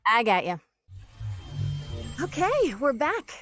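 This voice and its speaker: Animated, higher-pitched woman presenter. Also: slow, soft background music. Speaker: co-host of a video game showcase. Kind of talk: scripted comic presenting.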